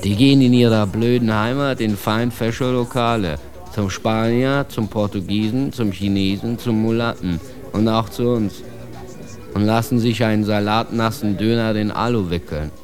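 A man's voice: lowish voice, moderate pace, a little mellow, faint music behind, lightly acted. An actor playing a proud immigrant man ranting with bitter contempt, in a declamatory slang tirade for a radio drama. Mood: bitter, scornful.

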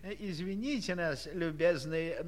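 A man's voice: on the high side, unhurried, lightly acted. A man playing a pompous, courteous elder in a children's audio play, speaking formally and ponderously. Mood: formal, apologetic. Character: pompous, courteous male elder of a land of numbers.

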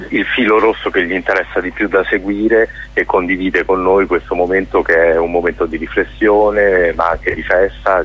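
A man speaking as a guest in a radio interview, talking in a halting way with many fillers and restarts. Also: low voice, breathy edge. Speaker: festival director guest. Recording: dull lo-fi radio sound.

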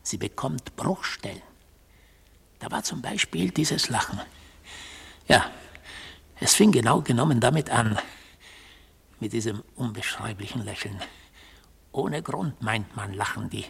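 An actor playing an obsessive defendant in a radio play, arguing in an insistent, precise, rhetorical manner. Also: male voice, medium pitch, animated, unhurried, gravelly, long pauses, a bit bright, breathy edge, heavily acted. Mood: indignant, insistent. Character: obsessive male defendant, a mystical god testifying in court. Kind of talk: 1970s German radio play acting.

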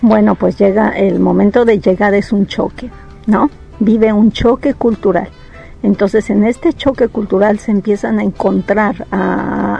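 A woman from Peru speaks with a gravelly, dark tone. She explains things in a reflective way, often pausing to check in with little tag questions. Soft music plays faintly underneath. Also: medium pitch. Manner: reflective, thinks aloud with many tag questions. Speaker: psychologist interviewee. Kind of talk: interview answers, explanatory.

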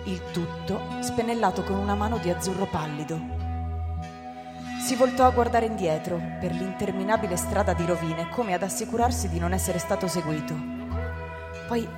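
Woman narrator over loud music, reverberant. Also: medium pitch. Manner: measured storytelling. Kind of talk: scripted literary narration for radio drama.

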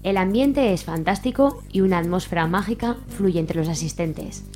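A woman's voice: lowish voice, normal speed. A woman from reading scripted storytelling narration as the narrator of a radio soap. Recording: faint music behind.

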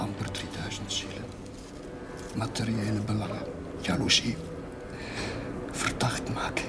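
Actor as solemn preaching chaplain over loud music. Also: male voice, lowish voice, natural voice. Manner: solemn, measured rhetorical delivery. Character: earnest, troubled village chaplain preaching and brooding. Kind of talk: sermon in a television drama.

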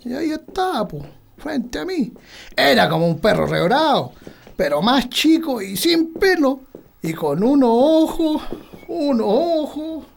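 A man's voice: very high voice, very animated, unhurried, vocal fry, exaggerated voice. A man playing a stubborn, boastful old farmer in a radio drama, with a rural Chilean accent and folksy country speech. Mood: shaken, earnest.

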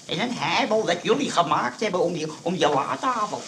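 A touchy older man nursing a grudge in a radio comedy, stubborn and indignant. His voice is fairly high and forceful, on an old recording with faint noise. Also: expressive, fairly quick, reverberant, heavily acted. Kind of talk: radio comedy sketch dialogue.

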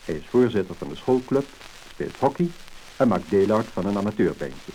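A faintly crackling mid-century record features a man narrating in Netherlands Dutch. His voice is fairly low, and his scripted delivery is formal and measured. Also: animated, warm tone. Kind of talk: 1950s scripted documentary-style narration.

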